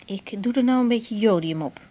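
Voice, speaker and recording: An actress playing a young woman doctor in a 1980s radio drama, gentle and matter-of-fact in manner. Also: on the high side, expressive, normal speed, dull audio. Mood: calm, professional. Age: young adult. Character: young woman doctor, tender lover in private, patient at work.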